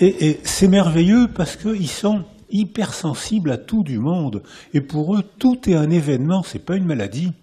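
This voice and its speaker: Husky French man giving a lively lecture. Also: mid-range voice. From France. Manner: lively, restarts phrases, builds points by repetition. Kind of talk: public lecture to a live audience. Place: lecture hall with an audience.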